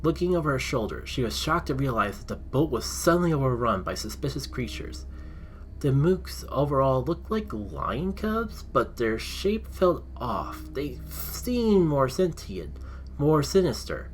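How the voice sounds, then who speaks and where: A man's voice: mid-range voice, big pitch swings, measured pace, slight background noise. A man narrating expressively, with dramatic emphasis, as a video creator telling his own story. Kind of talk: casual intro, then reading own written story aloud.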